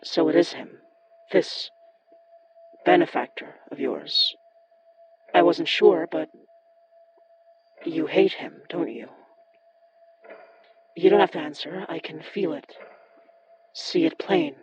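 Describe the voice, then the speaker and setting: Slow, determined occult investigator played by a man. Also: fairly high pitch, lo-fi sound, noisy background. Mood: resolute. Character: determined, guarded young occult investigator who summons spirits. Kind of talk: horror audio drama acting.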